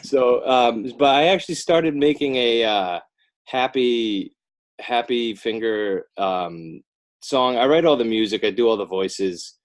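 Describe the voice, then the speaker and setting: A man's voice: mid-range voice, measured pace. A middle-aged American man chatting casually as a podcast guest, rambling in a wry, self-deprecating way. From northern New Jersey, United States. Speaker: podcast guest, animator and children's author. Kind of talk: casual joking interview chat.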